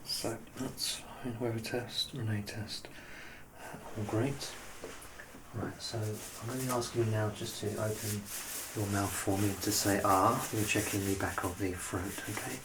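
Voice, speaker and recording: Low-pitched man doing gentle ASMR doctor roleplay, noisy background. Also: flat delivery, measured pace. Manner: gentle, reassuring, softly instructing. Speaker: ASMR performer playing a doctor. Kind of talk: ASMR medical exam roleplay.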